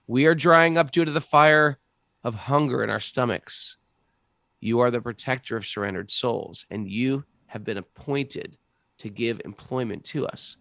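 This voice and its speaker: A man reading aloud the plea of starving citizens to their king, in an earnest, gravelly voice. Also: mid-range voice, fairly bright tone, dry recording.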